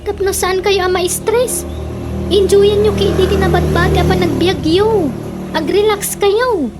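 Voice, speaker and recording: A woman playing a sharp-tongued, scolding neighbour in a comedic radio drama, teasing and exclaiming with an Ilocano accent. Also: very high-pitched, fairly even intonation, a little slow, loud music behind the voice.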